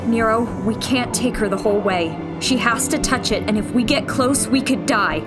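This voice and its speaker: An actress playing an anxious young rebel who speaks urgently and pleads. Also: female voice, fairly high pitch, normal speed, lightly acted. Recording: loud music score behind.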